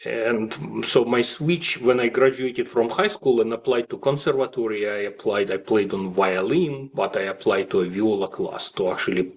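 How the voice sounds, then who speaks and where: Male interview guest, native Russian speaker with Russian accent, slightly low voice, reflective and halting. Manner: halting, with frequent pauses and slight grammatical slips. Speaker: guest, classical violist and music professor.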